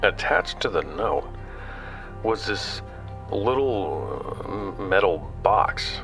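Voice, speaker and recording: An actor playing a curious explorer in an audio drama, speaking conversationally and haltingly as he records his expedition. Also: deep voice, animated, heavily acted. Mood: reflective. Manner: halting, conversational, stumbles over words. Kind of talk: audio drama acting, hesitant recorded-log narration. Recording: music bed under the voice.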